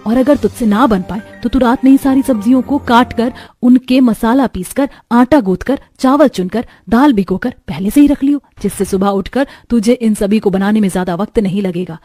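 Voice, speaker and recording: A woman's voice: mid-range voice, mellow, no echo, lightly acted. Woman playing a scolding, exasperated mother. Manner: scolding, instructive. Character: nagging, exasperated middle-aged mother. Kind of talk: Hindi cartoon story dubbing.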